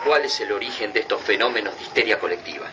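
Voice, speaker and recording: An actor playing a concerned, questioning soldier comrade in a radio drama. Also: male voice, fairly low pitch, quick pace, natural voice. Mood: grave. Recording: very noisy, distant radio drama recording.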